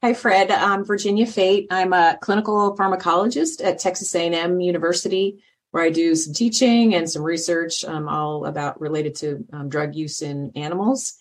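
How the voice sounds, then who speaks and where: A woman introducing herself as a podcast guest, with frequent soft "um"s. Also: on the low side, steady tone, moderate pace. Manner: soft, um-filled. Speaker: guest, pharmacologist.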